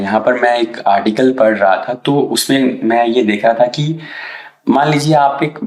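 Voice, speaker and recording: Guy with a scratchy sore throat explaining seo stuff, kinda low voice, roomy echo. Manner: casual, hesitant fillers, mixes English terms. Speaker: SEO tutorial creator. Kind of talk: explanatory tutorial in Hindi-English mix. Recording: echoey room.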